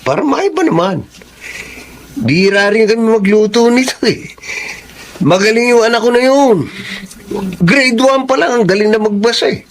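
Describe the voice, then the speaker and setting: An easygoing, humble father in a Filipino radio drama. His voice is high and lively, folksy and cheerful, with faint noise in the recording. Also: normal speed, pauses often. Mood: grateful, cheerful. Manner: folksy, chuckling, animated. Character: cheerful, easygoing, poor family man content with simple life.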